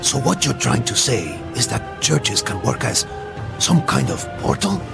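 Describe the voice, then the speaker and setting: Gravelly Spanish-accented mercenary sidekick. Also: male voice, very animated, a little slow, exaggerated voice. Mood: doubtful. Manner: familiar, wry, peppered with Spanish words. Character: loyal, wry, short Spanish mercenary comrade. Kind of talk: dramatic video game cutscene acting. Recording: music and rumble behind.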